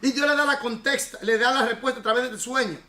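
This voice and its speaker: A male pastor teaching a Bible class, his voice pitched high for a man and ringing bright and sharp. He explains at length and stops to quiz his students. The sound bounces around an echoey church room. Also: fast talker.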